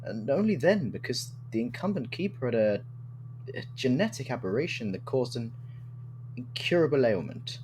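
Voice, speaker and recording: A man as a cautious, lively-toned councillor. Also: medium pitch, normal speed, lightly acted. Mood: doubtful. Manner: formal, polite, expressive. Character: cautious, courteous, doubtful male councillor. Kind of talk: audio drama acting. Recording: noisy recording with steady hum.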